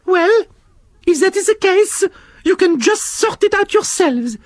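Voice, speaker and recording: A shrill, prim snail lady. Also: animated, unhurried, dry recording, clean studio sound. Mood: stern. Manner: scolding, proper. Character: prim elegant female French snail. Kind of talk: storybook reading.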